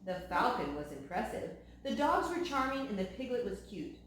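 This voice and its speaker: A woman reading a children's storybook aloud in an expressive storytelling style. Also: mid-range voice, normal speed. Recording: very echoey room, faint noise.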